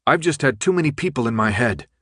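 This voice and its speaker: A man's voice: medium pitch, expressive, fast talker, fairly bright tone, no echo, clean studio sound, pitched above the performer's own voice. A man voicing a wary, determined young man, the hero of an audiobook novel, in an earnest, firm manner. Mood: defiant.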